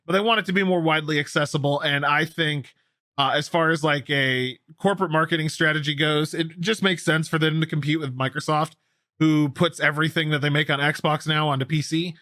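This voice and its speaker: A man's voice: fairly high pitch, fairly even intonation, brisk, sharp tone, no echo, clean studio sound. A man co-hosting a gaming news show, talking casually and emphatically, repeating himself for effect and swearing freely. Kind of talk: casual news commentary with co-host.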